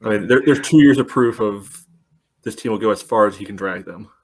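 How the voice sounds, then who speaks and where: A low-voiced male podcast co-host with a dry, blunt delivery. He rattles off numbers and makes short, pointed remarks. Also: fairly quick, slightly rough, low-quality recording. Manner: dry, blunt, reads out statistics. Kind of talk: casual sports chat.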